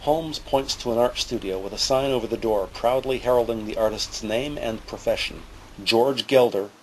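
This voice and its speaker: A man narrating an audio drama from a script in a deliberate, theatrical style. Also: mid-range voice, a little hiss or hum, rough recording. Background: music under the voice.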